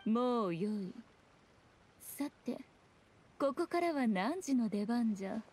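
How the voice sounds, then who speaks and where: A woman's voice: on the high side, lively intonation, slow, darkish tone, slight background noise. A woman voicing a haughty, sly fox-spirit shrine maiden in an anime-style game, with archaic, composed, scornful speech. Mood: calm, commanding.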